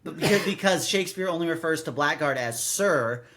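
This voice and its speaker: A man's voice: fairly high pitch, expressive, fairly quick, a bit bright. A man hosting a podcast in casual chat, rambling and often restarting his sentences mid-thought. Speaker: podcast host. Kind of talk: casual chat reviewing a radio drama.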